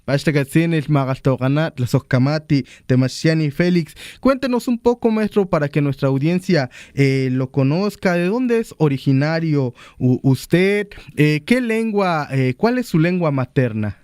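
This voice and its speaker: A man hosting a radio interview, speaking formally and courteously, often pausing with "eh" fillers. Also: a little high, animated, a little mellow, clear, resonant tone, no echo, clean studio sound. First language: Totonac. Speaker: radio show host.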